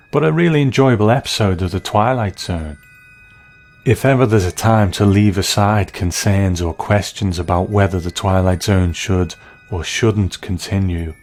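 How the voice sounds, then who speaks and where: A man hosting a scripted TV review, speaking in measured, reflective, deliberate phrases. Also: sits low, a little mellow. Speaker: television review host.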